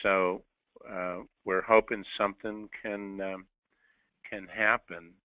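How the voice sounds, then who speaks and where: A male company executive answering investor questions on a telephone call, measured and pausing between short phrases. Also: low-pitched, little pitch movement, clean tone, dry recording. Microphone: telephone on a conference call. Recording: narrow dry telephone line.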